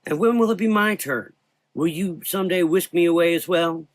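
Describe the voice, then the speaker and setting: A man voicing a tough, bitter, defiant gunfighting woman in a gothic audio drama, sharp-tongued and sarcastic. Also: high voice, normal speed, dry recording, voice pushed up, lightly acted. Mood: sardonic, accusing.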